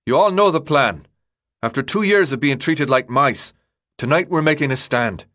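Man voicing a forceful, higher-pitched teenage boy leader. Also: lively intonation, moderate pace. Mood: defiant, rousing. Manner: forceful, rallying, colloquial. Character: rousing teenage boy leader, blunt and defiant. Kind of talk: audiobook character dialogue.

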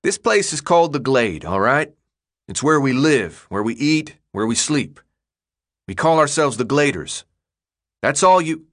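A man voicing a gruff, impatient teenage boy leader with blunt, slangy speech in an audiobook. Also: mid-range voice, very animated, measured pace, a bit bright, pitched above the performer's own voice. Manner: blunt, slangy, clipped commands. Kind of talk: audiobook character dialogue.

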